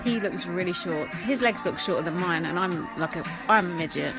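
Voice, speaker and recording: A woman's voice: fairly low pitch, fairly quick. Sarcastic woman mocking TV. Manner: sarcastic, blunt and sweary. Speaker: solo podcast host. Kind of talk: casual running commentary over a TV show. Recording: music and TV audio playing behind.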